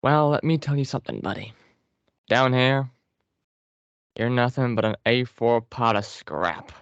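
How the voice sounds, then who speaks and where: A man's voice: mid-range voice, measured pace, smooth, no room sound, natural voice. A man voicing a condescending, world-weary scrap-paper General in an amateur table read. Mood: scornful. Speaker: actor in a script table read. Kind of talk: amateur table read over a group call. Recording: dry, dull group-call audio.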